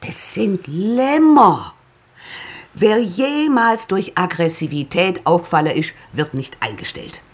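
An actress playing an assertive, dismissive meat company staffer in a radio drama. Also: female voice, lowish voice, big pitch swings, slow pace, exaggerated voice. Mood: assured.

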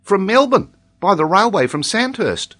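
Man as a small boy, high voice, polite, grave, earnest, storybook character. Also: sing-song, moderate pace, fairly bright tone, full character voice. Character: devout, earnest, calm little boy with good manners.

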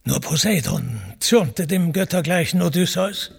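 A man's voice: high voice, expressive, a little slow, gravelly, breathy edge. A man reciting classical epic verse as a storyteller, in a solemn, declamatory, dramatic manner. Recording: music under the voice. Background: musical accompaniment.

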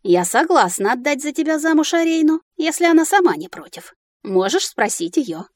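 A woman voicing a sharp-tongued, bossy young heroine in a fantasy audiobook, exclamatory and theatrical. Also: high-pitched, very animated, moderate pace, no room sound, pitched above the performer's own voice. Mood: commanding. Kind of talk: audiobook character acting.

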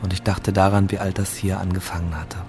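A man's voice: low voice, little pitch movement, a little fast, music in the background. An actor playing a grieving seventeen-year-old boy fleeing war, telling his story in a subdued, reflective radio drama monologue. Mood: weary, reflective.

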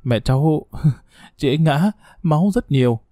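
A man doing a flustered, halting aunt. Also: a little high, expressive, dark tone, no echo, studio quality, voice pushed up. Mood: shaken. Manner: halting, repetitive. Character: flustered middle-aged aunt, not very lucid.

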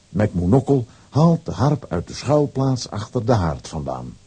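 Zeer expressieve mannelijke verteller, donkere hese stem. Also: male voice, on the low side, warm tone, slightly rough. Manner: dramatic storytelling. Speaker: narrator. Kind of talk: scripted storybook narration for children's audio drama.

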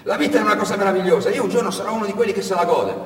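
Man playing a naive teenage boy, pitched high. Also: racing. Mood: elated, dreamy. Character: naive sixteen-year-old boy migrant trying to sound tough. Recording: echoey hall from far off, noisy.

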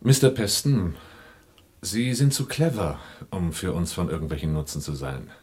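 A man's voice: deep voice, moderate pace, darkish tone, slightly rough. An actor playing a wary, coolly persuasive conspirator in a German radio play, formal and pointed in his speech. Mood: cool, dismissive.

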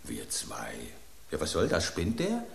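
A man's voice: extremely low pitch, huge pitch swings, a little slow, vocal fry, echoey room, heavily acted. An actor voicing an aging male loaf of bread, an urbane, ironic epicure, in a radio play. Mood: smug.

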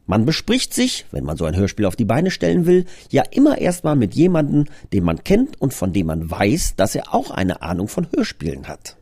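A man's voice: mid-range voice, huge pitch swings, moderate pace, gravelly, few pauses, darkish tone, slightly rough, dry recording, clean studio sound. A man presenting his own audio drama in a scripted but conversational trailer, explaining things earnestly.

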